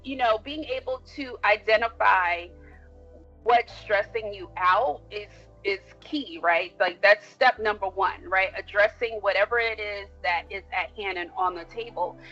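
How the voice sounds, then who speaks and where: A woman's voice: medium pitch, unhurried, bright, forceful tone, dull audio, soft background music. A woman co-hosting a podcast, explaining her points in a reflective and casual way. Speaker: podcast co-host.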